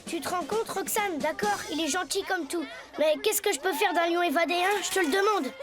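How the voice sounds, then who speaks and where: A woman's voice: extremely high pitch, a little fast, music in the background. A woman voicing an earnest, hesitant young boy in a French cartoon. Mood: unsure. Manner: hesitant, protesting, childlike.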